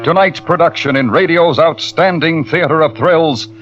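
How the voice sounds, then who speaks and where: A man introducing a radio show, higher-pitched and measured in a declamatory 1940s style. The dull old broadcast has music under his voice. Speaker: radio announcer. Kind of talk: formal 1940s radio announcing.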